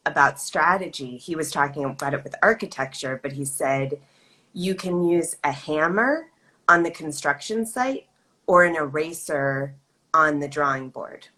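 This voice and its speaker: Low-voiced woman hosting a live chat. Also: bright, forceful tone. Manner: thoughtful, measured, asks questions. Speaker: host. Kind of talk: casual live-stream interview.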